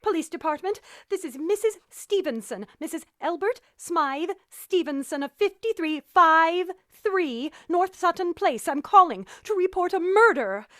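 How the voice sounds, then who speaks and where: An actress playing a frantic, demanding invalid wife in a 1940s radio drama, agitated and indignant. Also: female voice, extremely high pitch, expressive, measured pace, few pauses, bright, forceful tone, no echo, studio quality, exaggerated voice. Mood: nervous, insistent.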